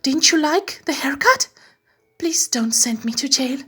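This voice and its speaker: An anxious young hairdresser from a storybook, played by a woman reader, eager to please and pleading. The voice sits high and earnest, with music underneath. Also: lively intonation, a little slow, pushed and bright, full character voice. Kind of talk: storybook character voice.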